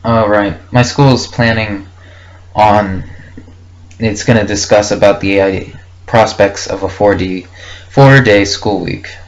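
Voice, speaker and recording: A man's voice: on the low side, steady tone, takes it slowly. A young man recording a casual, unscripted audio log, thinking aloud hesitantly and reflectively in short fragments. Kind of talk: casual unscripted rambling monologue. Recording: muffled lo-fi with faint background noise.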